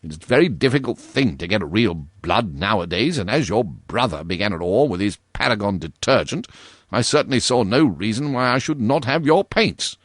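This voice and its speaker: A man's voice: lowish voice, lively intonation, brisk, dry recording, studio quality. A man voicing a petulant, pompous old aristocratic ghost in a storybook reading, haughty and self-pitying.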